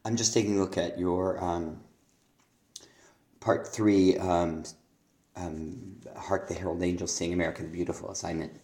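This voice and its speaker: Male teacher, hoarse with laryngitis, very low gravelly voice; gentle, halting feedback with many ums. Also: level tone, echoey room. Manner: hesitant, many ums, speaks lyrics aloud slowly. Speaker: songwriting teacher. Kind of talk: spoken feedback on a student's lyrics.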